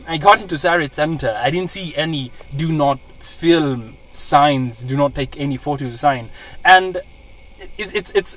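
A man's voice: fairly high pitch, a bit bright, far from the mic, rough recording. A man in his twenties with a Kenyan accent, talking casually to camera as a vlogger, earnest and emphatic. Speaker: vlogger, social media personality. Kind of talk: casual vlog talk to camera. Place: inside a parked car.

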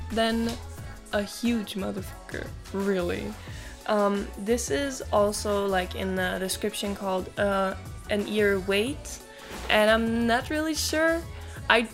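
Chatty young woman vlogger, music behind. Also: medium pitch. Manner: chatty, frequent "like", casual swearing. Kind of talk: casual haul video chat. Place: small wood-paneled room indoors.

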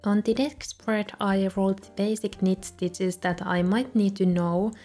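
A young woman, a native Finnish speaker, calmly narrating a voice-over for her video with soft laughs between remarks. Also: mid-range voice, a little slow, faint music behind. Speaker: journaling video creator. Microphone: voice-over recorded separately.

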